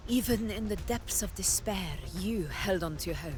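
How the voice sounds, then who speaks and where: A medium-pitched female voice from an actress for a noble warrior leader in a game. She sounds measured, solemn and earnest over clearly audible music. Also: animated, lightly acted. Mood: resolute. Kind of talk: dramatic fantasy game acting.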